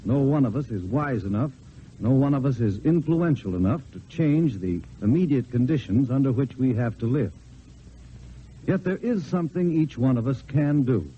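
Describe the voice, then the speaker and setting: A man giving an earnest, measured, scripted inspirational address on vintage radio. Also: mid-range voice. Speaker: spokesman delivering a church message. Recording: dull vintage radio recording, faint hiss.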